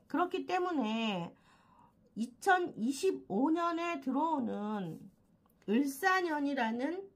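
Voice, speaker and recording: A woman with a slightly hoarse throat from a cold explains a monthly fortune reading as a host, haltingly, with frequent filled pauses. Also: sits high. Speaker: fortune-telling channel host. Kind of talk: explanatory monthly horoscope talk.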